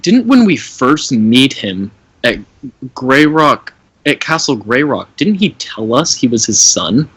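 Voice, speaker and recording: A man talks casually as a tabletop gamer. His pitch jumps around a lot in a raspy voice, picked up close and dry. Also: big pitch swings, slow, a bit bright, no room sound. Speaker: tabletop game player. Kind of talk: casual chat.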